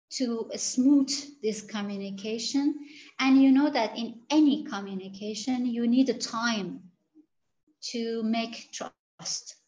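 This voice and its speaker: Halting woman on a dull call. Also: fairly high pitch, a little mellow. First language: Gilaki. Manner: halting, searching for words, many restarts. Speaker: interviewed researcher. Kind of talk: interview answers.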